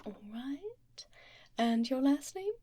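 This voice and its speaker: A woman voicing a calm, courteous female doctor in an ASMR roleplay, soft and half-whispered, reassuring and unhurried, close to the microphone. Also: high voice, slight background noise. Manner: unhurried, reassuring, murmuring little acknowledgements. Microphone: close binaural ear microphone.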